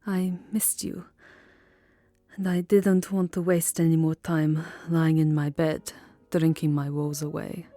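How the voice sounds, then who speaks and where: Vampire countess, played by a woman: low, mellow, lovelorn and sorrowful, soft formal delivery for ASMR roleplay. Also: level tone, slow, dry recording, faint music behind. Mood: tender, wistful. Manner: soft, hesitant, formal, often dropping into whispers. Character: lovelorn, melancholy vampire countess, burned and self-loathing. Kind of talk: ASMR roleplay voice acting, second-person romance.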